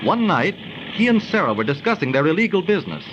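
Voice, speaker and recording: Highish, animated learned skeptic, noisy recording. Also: male voice, quick pace, highs cut off. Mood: storytelling. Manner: slightly pompous, storytelling. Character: wry, skeptical, learned friend telling a legend. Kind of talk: 1930s radio acting. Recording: noisy old 1930s radio recording.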